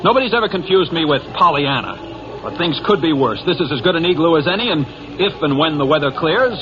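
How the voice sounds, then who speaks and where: An actor playing a gruff, wisecracking bus driver in an old-time radio drama, folksy and sardonic in manner. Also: male voice, on the high side, expressive, brisk, background noise, natural voice. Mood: firm, practical. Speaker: narrator and lead actor. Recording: muffled old radio broadcast, loud music.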